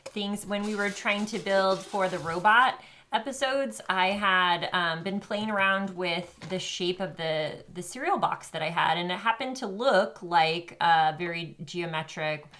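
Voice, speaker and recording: Low-pitched woman explaining crafts gently. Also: unhurried. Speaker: co-host of a children's show. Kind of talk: casual explanatory craft demonstration.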